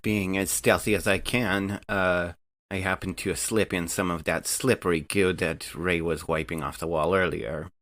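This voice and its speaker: Male tabletop gamer with a deep voice, casual delivery. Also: fairly even intonation, moderate pace, a bit bright. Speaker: player in a tabletop role-playing game. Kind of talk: casual game-table chat.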